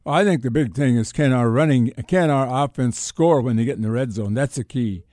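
An American man hosting sports talk in a casual, halting way, often repeating words and rephrasing as he goes. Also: medium pitch, a little mellow, no room sound, studio quality. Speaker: sports talk show host.

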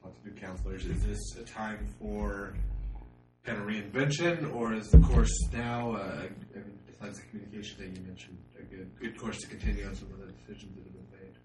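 A man with a low voice puts slow questions from some distance off the mic. The room is echoey and a clear hum runs underneath. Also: fairly even intonation, over music. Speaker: interviewer. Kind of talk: interview questions.

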